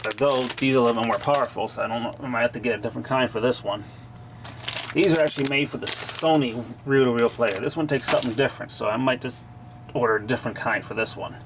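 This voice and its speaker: Clear background noise sits under this amateur recording of a man talking. His voice is mid-pitched, and he narrates hands-on repair work in a casual, unscripted way. The delivery is plain-spoken and informal, like a hobbyist explaining things as he works. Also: fast talker. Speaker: hobbyist repairer of vintage tape machines.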